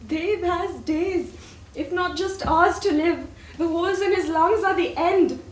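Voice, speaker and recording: A proud widowed mother in a stage drama, has an extremely high voice. She speaks with defiant, pointed drama. The recording is distant and echoey, with faint noise. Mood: grave, firm. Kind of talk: stage play acting.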